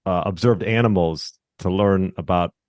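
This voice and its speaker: A man hosting a podcast, welcoming his guest and asking questions in a friendly, relaxed way. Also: sits low, normal speed, dry recording, clean studio sound. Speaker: podcast host. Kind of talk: casual interview hosting.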